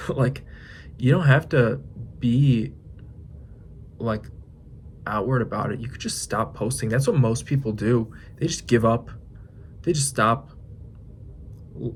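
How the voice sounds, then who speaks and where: A man in his twenties talking through his thoughts in a casual vlog, musing with pauses and restarts. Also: medium pitch, a little hiss or hum. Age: 20s. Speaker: fitness vlogger. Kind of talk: reflective casual vlog monologue.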